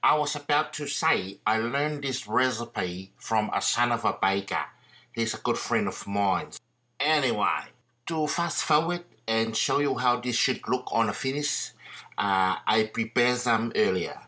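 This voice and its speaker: A man's voice: medium pitch, lively intonation, a little slow, sharp tone. A man playing a bumbling island uncle who pretends to be a TV chef, speaking broken, hesitant English in a comedy sketch. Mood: casual.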